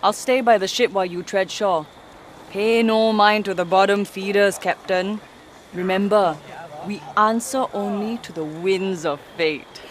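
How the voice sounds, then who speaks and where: A woman's voice: mid-range voice, measured pace, lightly acted. An actress playing a sly, spirited pirate first mate in a video game, teasing and theatrical, with some noise behind her. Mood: confident, wry. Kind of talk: video game dialogue acting.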